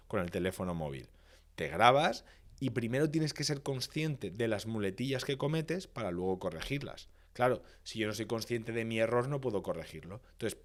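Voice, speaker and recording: A man from Valencia, Spain, a public speaking expert, explaining his points in a podcast interview with emphatic, didactic repetition. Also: fairly low pitch. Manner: didactic, emphatic, lists points and repeats phrases for rhythm.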